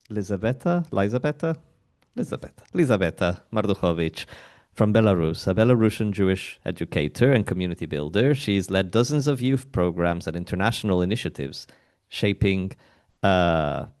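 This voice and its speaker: A man's voice: sits low, a little mellow. A man hosting a panel discussion, introducing guests casually and laughing often. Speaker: host.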